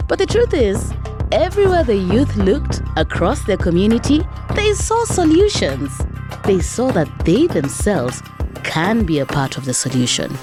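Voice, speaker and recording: A woman hosting a narrated documentary podcast with dramatic, rhetorical storytelling delivery. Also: mid-range voice. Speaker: podcast host. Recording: music behind the voice.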